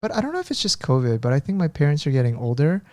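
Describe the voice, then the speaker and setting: A man co-hosting a casual podcast, chatty and self-deprecating as he tells everyday stories. His voice sits mellow and relaxed, and the sound is close and dry with no room around it. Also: a little high, rapid-fire, dry recording. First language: Korean is his second language. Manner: chatty, self-deprecating, laughs at himself, acts out Korean phone calls. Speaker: podcast co-host.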